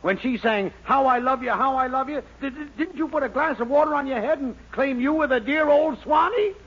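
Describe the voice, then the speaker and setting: A man's voice: very high-pitched, brisk, a bit bright. An actor playing an exasperated, easily rattled small-town businessman, sputtering and dryly resigned in 1940s radio comedy style. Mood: defensive. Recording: dull, slightly noisy old radio recording.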